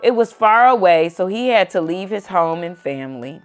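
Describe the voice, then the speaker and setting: A woman's voice: lowish voice, lively intonation, moderate pace, clean tone. A woman reading a children's book aloud as host, using simple phrasing and speaking to a young child between passages. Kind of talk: read-aloud storytelling with simple asides to a child. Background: background music.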